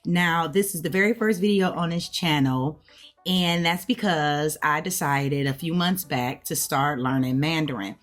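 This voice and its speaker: An American woman with a low voice speaks in a casual vlog style, addressing viewers directly. She explains things conversationally and often checks in with small tag words, over faint music. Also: unhurried. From United States. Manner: conversational, checks in with okay, right, all right. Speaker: language learner hosting a video channel.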